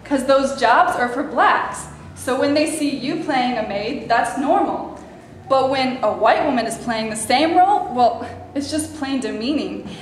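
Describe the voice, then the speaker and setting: Lady reading a brassy snide actress gal, high voice, super echoey stage. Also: lively intonation, measured pace. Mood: indignant. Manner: brassy, sarcastic, condescending. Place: theater stage before a live audience. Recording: very echoey stage, faint room noise.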